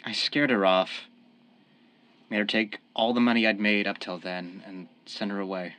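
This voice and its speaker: A man's voice: on the low side, fairly even intonation, moderate pace, bright, forceful tone, smooth. A man playing a desperate young family man turned mob killer in a radio crime drama, earnest and defensive. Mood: earnest, regretful. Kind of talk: old-time radio crime drama acting.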